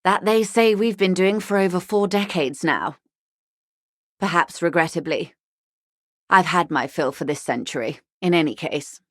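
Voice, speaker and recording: Wealthy worldly middle-aged lady, deep female voice, measured, eloquent, wry, world-weary, dry studio sound, video game dialogue. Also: dry recording. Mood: wry, musing.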